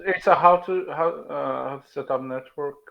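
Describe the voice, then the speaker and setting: A man answering as a student in a class, hesitant and halting. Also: fairly high pitch, gravelly, lo-fi sound.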